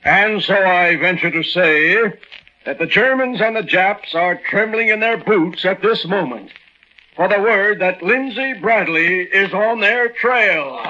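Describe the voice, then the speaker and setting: A grandiloquent factory boss speechifies in flowery phrases, high and piercingly bright. He takes his time in old radio drama style, on a dull vintage recording. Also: a little slow, slight background noise. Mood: grand, ceremonious. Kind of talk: 1940s radio drama acting.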